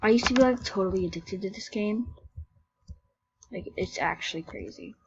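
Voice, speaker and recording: A woman commenting on a game she plays, excitable and jumping between shrieks and hushed whispers, sick and shivering with cold. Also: medium pitch, normal speed, creaky, highs cut off, a little hiss or hum. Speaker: game video creator. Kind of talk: casual gameplay commentary.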